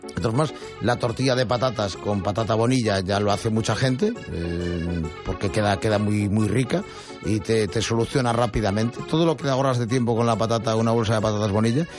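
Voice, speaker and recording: A man's voice: fairly low pitch, brisk, over music. A man hosting a radio show, chatting and reading news with many fillers and restarts. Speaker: radio host. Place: radio studio.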